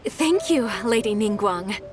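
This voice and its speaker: A woman playing a diligent, eager young secretary in a video game, brisk and polite as she methodically explains things. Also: a little high, animated, over music. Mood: pleased, impressed.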